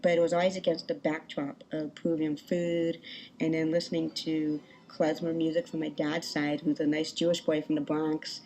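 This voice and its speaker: A woman composer from California, talking in an animated, reflective way in an interview clip. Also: deep voice, moderate pace, slight background noise. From Berkeley, California. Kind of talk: interview talk.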